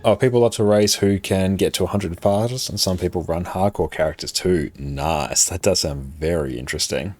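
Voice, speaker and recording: A young Australian man with a soft, slightly British-sounding accent, chatting casually and reading chat aloud during a live game stream. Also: deep voice, moderate pace, hardly any pauses. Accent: Australian, described as a softer, British-sounding one. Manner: relaxed, friendly, chatty banter. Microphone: desk streaming microphone.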